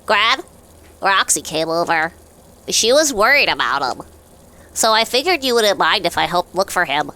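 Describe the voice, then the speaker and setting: An earnest young cartoon boy played by a woman, apologetic and explaining himself. The voice is bright and swings up and down like a game hero's. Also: mid-range voice, big pitch swings, normal speed. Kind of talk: cartoon game dialogue read aloud.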